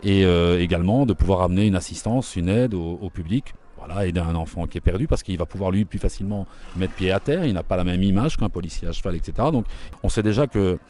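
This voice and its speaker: Middle-aged Belgian man, deep and quick, dark mellow tone; informative interviewee. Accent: Belgian French. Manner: brisk and informative, with frequent fillers. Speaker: interviewee, equestrian trainer. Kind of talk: explanatory interview talk.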